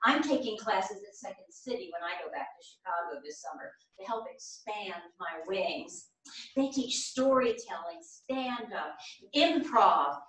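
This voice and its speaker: Woman acting an animated, practical lady, echoey theater. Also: mid-range voice, unhurried. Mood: enthusiastic. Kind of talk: staged play reading. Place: small theater stage. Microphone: far camera. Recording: echoey theater, distant camera microphone.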